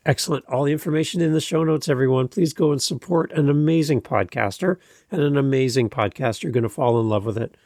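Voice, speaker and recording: Effusive male podcast host, gravelly creak. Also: mid-range voice, fast talker, few pauses, darkish tone, no room sound. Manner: effusive, emphatic. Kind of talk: conversational interview hosting.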